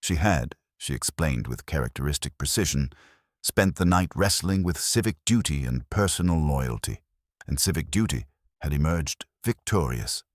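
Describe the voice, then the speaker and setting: A man's voice: very deep voice, expressive, moderate pace, no room sound. A man narrating a scripted mystery story in a formal, dry, wry manner.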